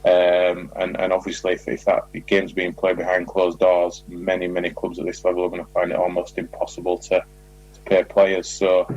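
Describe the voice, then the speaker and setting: A man's voice: low-pitched, monotone, moderate pace, a little mellow, slightly rough, lo-fi sound, faint music behind. A man talking as a football manager in an informal interview, repeating words as he explains.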